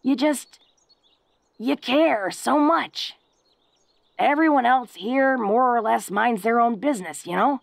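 A woman's voice: a little high, slow pace, creaky, no echo. A woman playing a tough but awkward, tender-hearted young female orc in a romantic audio roleplay, speaking in a folksy, hesitant, earnest way. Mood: shy, affectionate.

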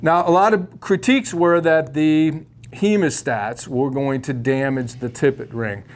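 A man's voice: mid-range voice, fairly even intonation, measured pace. A middle-aged man giving a casual, opinionated fly fishing tutorial to camera in a shop studio, with plenty of ums and uhs, on a lapel mic.

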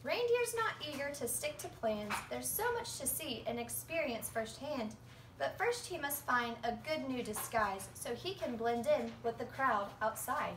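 A young woman reads a picture book aloud like a teacher, in a high, very lively singsong. She is recorded at home from across a roomy space, so the voice sounds distant. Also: measured pace. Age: young adult. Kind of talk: storybook read-aloud. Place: living room at home, indoors. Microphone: phone camera a few metres away. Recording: roomy, distant phone recording with faint noise.

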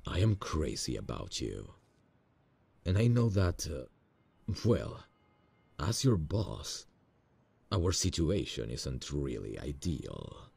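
A man acts out a tender, earnest male boss in a romantic audio roleplay. His voice sits extremely low and is husky and full of fry. He speaks intimately and hesitantly, like someone nervous and in love. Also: sing-song, no room sound, heavily acted. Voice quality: very deep, gravelly, husky. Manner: hesitant, slow, soft-hearted.

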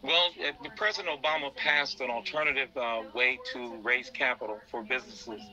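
Male interview guest, very bright forceful voice, earnest and halting, on a dull lo-fi feed. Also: medium pitch. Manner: halting, repeats words. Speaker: interview guest, business founder. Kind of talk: earnest explanatory interview talk.

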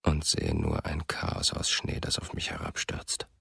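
An actor playing a brooding, haunted writer in a radio drama, gravelly and halting, speaking an introspective monologue. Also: male voice, extremely low pitch, sing-song, bright, forceful tone, dry recording, studio quality, exaggerated voice. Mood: haunted, somber. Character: brooding male writer haunted by memories and longing.

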